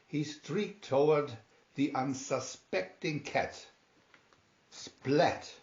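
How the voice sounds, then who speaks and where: A man reads a children's storybook aloud at a slow, measured pace. His delivery is deliberate and theatrical, with a medium-pitched voice. The recording sounds roomy and a little muffled. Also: darkish tone. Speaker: storybook reader. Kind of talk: scripted children's story narration, read aloud. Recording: roomy, slightly dull home recording.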